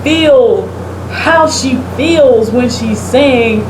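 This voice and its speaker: A woman's voice: a little high, extremely slow. A woman co-hosting a casual talk show, chatting and giving her opinions in an emphatic, conversational way. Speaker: podcast co-host. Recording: roomy echo, clear background hum and noise.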